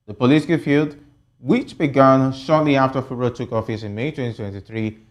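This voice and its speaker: Male TV news host, mid-range voice, presenting and quizzing panellists. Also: medium pitch. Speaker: TV host and interviewer.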